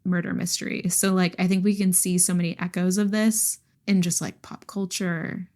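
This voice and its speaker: A woman's voice: lowish voice, little pitch movement, normal speed, a little mellow. Chatty woman co-hosting a book podcast. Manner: chatty, emphatic, peppers talk with "like". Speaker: podcast co-host. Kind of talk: casual book discussion.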